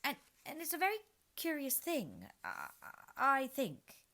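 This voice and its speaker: A polite, nervous young girl from a storybook who stumbles over her words in a bright, raised voice, as a woman reading puts her on. Her pitch lifts and dips often. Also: wildly swooping pitch, measured pace, full character voice. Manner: hesitant, stammering.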